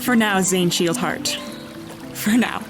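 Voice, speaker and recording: An actress playing a tender, hopeful young female sword fighter, soft-spoken, in a fantasy radio play. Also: mid-range voice, takes it slowly, close to an everyday voice. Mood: warm, hopeful. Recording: music bed under the voice.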